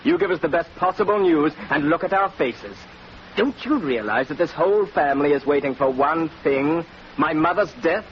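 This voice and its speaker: A man's voice: fairly high pitch, expressive, moderate pace, natural voice. A man playing a bitter, witty, tipsy family brother in 1940s radio acting, with a sardonic, slightly stammering theatrical manner. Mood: mocking. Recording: muffled, noisy old radio recording.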